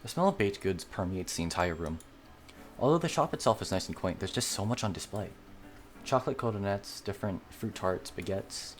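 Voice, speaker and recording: A man's voice: fairly low pitch, animated, a little fast, soft background music. A man reading a visual novel aloud as a gamer, narrating the script. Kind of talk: read-aloud narration of a game script with light character voicing.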